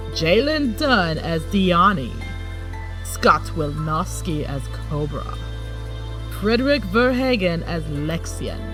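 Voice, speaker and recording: An announcer reading scripted credits for an audio drama. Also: mid-range voice.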